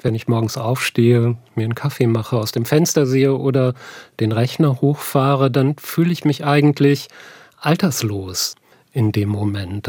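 A man in his fifties, a psychotherapist, reflecting in a measured, thoughtful way. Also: medium pitch, a little mellow, slightly rough, dry recording, studio quality. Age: 50s. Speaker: psychotherapist, interviewee. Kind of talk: calm personal reflection.

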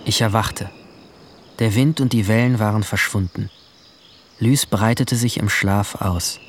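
A young man playing a brooding, guilt-haunted seventeen-year-old boy, narrating his story in measured, introspective short sentences in a radio drama. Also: lowish voice, fairly even intonation, slight background noise, natural voice. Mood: wistful, numb. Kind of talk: literary first-person radio drama narration. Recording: music bed behind the voice.

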